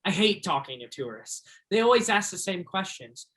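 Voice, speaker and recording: A man's voice: high voice, big pitch swings, moderate pace, a bit bright. A man playing a reluctant, dreamy teenage boy in a stage play, earnest and grumbling.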